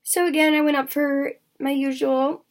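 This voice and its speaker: A woman in her twenties talks candidly to her vlog viewers in a raspy voice, halting often with fillers and restarts. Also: high voice, level tone. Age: 20s. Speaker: vlogger. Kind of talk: candid personal vlog chat.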